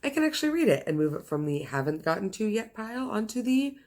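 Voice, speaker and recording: A woman's voice: a little high, sing-song, fast talker, darkish tone. A woman hosting a casual book recommendation vlog, speaking in an enthusiastic, gushing and emphatic way. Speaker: book reviewer host.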